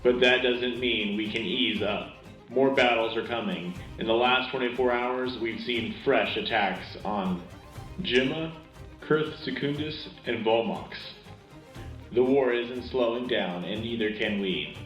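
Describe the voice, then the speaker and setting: Casting: man as earnest sci-fi war radio anchor, mid pitch, emphatic news delivery over faint music. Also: measured pace, fairly bright tone, echoey room, highs cut off, natural voice. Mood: earnest, rallying. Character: male wartime radio anchor, earnest and rallying. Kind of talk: scripted in-universe war news broadcast.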